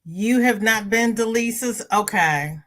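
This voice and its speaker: Stuffy-nosed woman chatting on a livestream. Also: measured pace. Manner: chatty, greets viewers by name with high bright lifts. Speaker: crochet channel host. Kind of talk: casual livestream chat, reading viewer comments.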